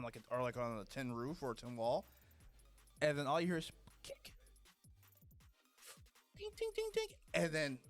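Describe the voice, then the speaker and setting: Man in wry esports commentary, music behind. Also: a little high. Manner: wry, joking, slangy. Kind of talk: casual esports commentary banter. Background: background music.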